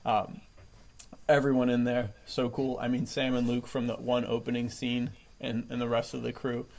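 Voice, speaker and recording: A man's voice: medium pitch, completely flat delivery, normal speed, gravelly, darkish tone. A man hosting a podcast in casual, chummy chat, enthusiastic and often chuckling. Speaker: podcast host. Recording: faint background noise.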